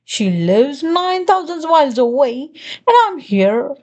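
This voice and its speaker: Lady doing a chatty little glunk guy, sugary wheedling, voice swoops high and low all over. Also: big pitch swings, slow, a little mellow, exaggerated voice. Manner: sweetly wheedling, rambling. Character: pushy chattering male glunk creature.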